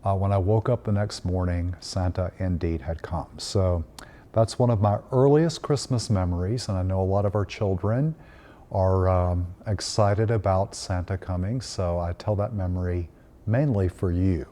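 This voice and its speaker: Priest in his fifties from Tennessee, mellow low voice, gentle reflective storyteller. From Tennessee, United States. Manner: gentle and unhurried, frequent "uh" fillers. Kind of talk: reflective Christmas storytelling message.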